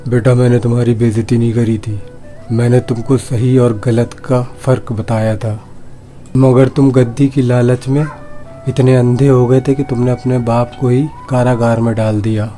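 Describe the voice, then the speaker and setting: A man acts out a wronged old king from a storybook. He speaks in a dark, mid-pitched voice, reproachful and full of regret, over music. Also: little pitch movement, moderate pace, lightly acted. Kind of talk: children's storybook dialogue.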